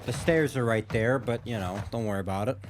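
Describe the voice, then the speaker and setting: A man's voice: lowish voice, a little fast. A man hosting a gaming video, giving offhand, wry reaction commentary in a casual style.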